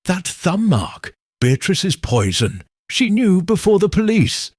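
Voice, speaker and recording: A man's voice: high voice, big pitch swings, slow pace, a little mellow, breathy edge, no echo, studio quality, voice pushed up, full character voice. A man voicing a gossipy village postmistress in an audiobook, earnest and confiding. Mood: awed. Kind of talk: audiobook character reading.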